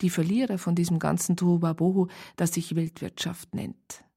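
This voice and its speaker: An actress playing a reflective Bavarian woman who narrates a case in a radio drama, husky and measured. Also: on the low side, little pitch movement, warm tone. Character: reflective female Bavarian practitioner recounting a case.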